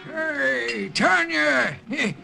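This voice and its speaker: An actor playing an old, wheedling waterfront drunk in a 1950s radio drama, talking in slangy, uneducated speech. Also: male voice, extremely high pitch, expressive, very slow, gravelly, pushed and bright, soft background music, full character voice. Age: older.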